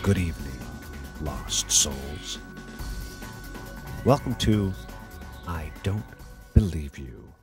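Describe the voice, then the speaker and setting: A man playing a sardonic, sceptical late-night radio host, with a wry, theatrical manner and a husky voice. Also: a bass voice, slow, long pauses, music in the background, exaggerated voice. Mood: wry, grandiose. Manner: wry, theatrical, deadpan sarcasm. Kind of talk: radio play acting as talk host.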